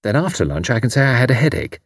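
Man voicing a resourceful Englishwoman, brisk, practical, thinking aloud, varied intonation, dry recording, audiobook dialogue. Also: mid-range voice, sing-song, no echo. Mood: pondering, practical. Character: brisk, resourceful British woman amateur spy, practical.

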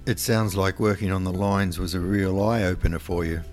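Calm, low-voiced male interviewer. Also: moderate pace, faint music behind. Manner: calm, summarising prompts. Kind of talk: interview questions.

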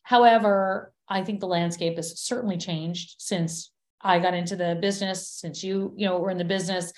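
A woman in her fifties, a guest in a casual interview, talking in a chatty, animated way with frequent restarts. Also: lowish voice, moderate pace. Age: 50s.

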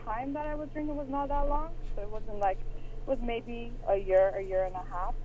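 A woman in her thirties from Iran, calling in and speaking hesitantly with many pauses and fillers about personal struggles. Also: fairly high pitch, fairly even intonation, mellow. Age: 30s. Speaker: caller. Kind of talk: personal call-in conversation. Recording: tinny phone line with faint hiss.

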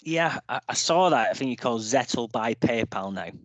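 A man hosting a panel podcast, introducing guests and guiding the talk in a relaxed way. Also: medium pitch, normal speed. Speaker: podcast host.

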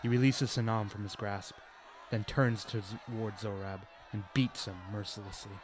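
A man's voice: lowish voice, fairly even intonation, moderate pace. A man reading scripted narration for a radio play, with noisy crowd ambience behind him.

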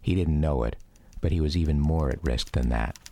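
A man's voice: a bass voice, a little fast, mellow, no room sound. A middle-aged man with an American accent narrating a scripted documentary drama. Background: music.

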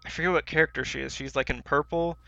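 A man's voice: a little high, steady tone, fast talker, creaky, sharp tone, dry recording. A man co-hosting a podcast in casual, slangy, informal chat, on a slightly dull recording. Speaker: podcast co-host. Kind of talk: casual chat about pop culture.

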